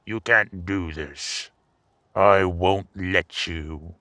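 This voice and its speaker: A man's voice: low voice, fairly even intonation, extremely slow, bright, forceful tone, dry recording, studio quality, heavily acted. A man playing an old, indignant and fearful king in a fantasy audio drama, faltering as he clings to his authority.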